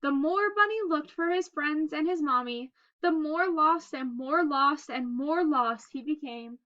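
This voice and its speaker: A woman reading a children's story aloud in an expressive, simple storytelling manner. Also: high voice, unhurried, muffled recording. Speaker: read-aloud host reading a picture book.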